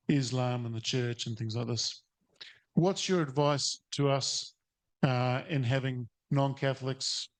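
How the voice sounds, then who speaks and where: A man, a teacher asking a long, hesitant question at a conference, full of fillers and restarts. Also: medium pitch. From New Zealand. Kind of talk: audience question at a seminar. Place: seated in a conference or webinar room.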